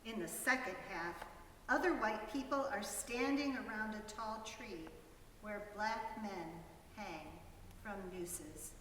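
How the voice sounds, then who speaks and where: A woman playing a young boy who narrates a storybook in a measured, descriptive manner. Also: medium pitch, fairly bright tone, a little hiss or hum. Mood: earnest describing. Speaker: reader in a dramatic story reading. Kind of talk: dramatic storybook reading. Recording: very echoey hall, distant, faint ambience.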